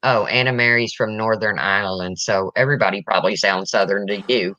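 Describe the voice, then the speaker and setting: A woman from the Midwest with a deep, raspy voice and a bright, forceful edge, talking bluntly and easily. The sound is dry and a little dull. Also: a little fast, dry recording. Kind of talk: casual chat.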